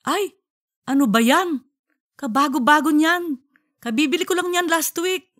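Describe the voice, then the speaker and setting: Woman voicing a high-pitched, excitable, caring wife. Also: unhurried. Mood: fretful. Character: caring, excitable middle-aged wife, soothing her husband. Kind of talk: audiobook character voice.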